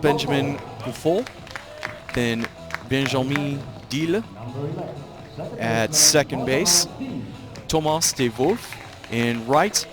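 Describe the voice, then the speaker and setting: A man from the United States calling a baseball game live, reading out the lineups carefully and apologizing for his pronunciation of the names. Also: mid-range voice. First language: English. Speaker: play-by-play commentator. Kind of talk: live sports commentary. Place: outdoor ballpark broadcast.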